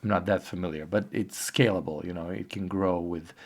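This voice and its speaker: A hoarse man giving a casual, rambling video update, with many pauses and fillers. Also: low-pitched, steady tone, darkish tone. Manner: halting, rambling, filler-heavy. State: hoarse from a cold. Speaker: online earnings vlogger. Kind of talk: casual talking-head update.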